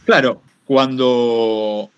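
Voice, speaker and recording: An older man with an Argentine accent talks reflectively and anecdotally, in a halting, rambling way, repeating phrases for emphasis. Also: medium pitch, fairly even intonation, clear, resonant tone, lo-fi sound. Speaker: guest speaker, retired radio broadcaster. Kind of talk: reflective anecdotal talk to a workshop.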